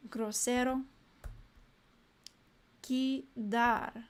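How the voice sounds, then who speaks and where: A young woman with a fairly high voice works through flashcards at an unhurried pace, saying each word aloud. She mutters little asides to herself between cards. When she turns to her live-stream viewers, she sounds cheerful and chatty. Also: steady tone, slight background noise. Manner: reads vocabulary aloud in short bursts, muttering asides to herself. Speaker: language learner streaming live. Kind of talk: casual live-stream study session.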